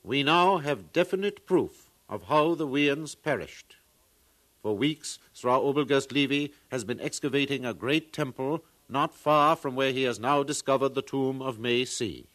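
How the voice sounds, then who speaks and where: A man's voice: medium pitch, measured pace, a bit bright, no echo, lightly acted. A man playing an earnest far-future museum scholar, lecturing formally in a 1950s radio drama.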